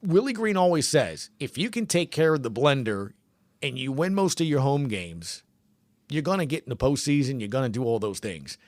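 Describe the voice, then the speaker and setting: A man's voice: mid-range voice, big pitch swings, moderate pace, a little mellow, no echo. A man hosting a sports podcast, giving casual commentary in emphatic, punchy conversational phrases.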